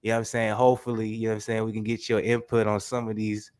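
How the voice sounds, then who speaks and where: A man hosting a casual boxing talk show while sick with a stuffy nose, talking in slangy, animated bursts and filling his speech with "you know what I'm saying". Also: lowish voice, quick pace, clean tone, no room sound, clean studio sound. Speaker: host.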